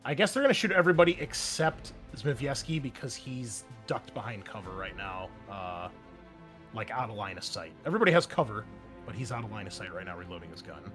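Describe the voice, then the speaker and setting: A man's voice: on the low side, expressive, fairly quick. A man running a tabletop game as game master, narrating informally with wry asides and casual swearing. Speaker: game master and host of an actual-play show. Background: background music.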